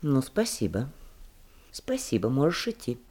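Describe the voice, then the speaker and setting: A woman's voice: rumbling low, sing-song, a little slow, dark tone, heavily acted. A woman playing a reserved, formal school director in a Soviet radio play. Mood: dismissive.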